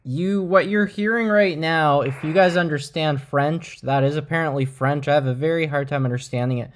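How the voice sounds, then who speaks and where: A man hosting a livestream, talking casually and haltingly as he thinks aloud. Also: a little high. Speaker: livestream host.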